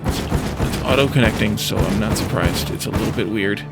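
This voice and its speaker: A man's voice: fairly low pitch, normal speed. A man giving casual gameplay commentary, thinking aloud in a musing, tentative way as he hosts a let's play. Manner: musing, tentative, talking to himself. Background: music behind the voice.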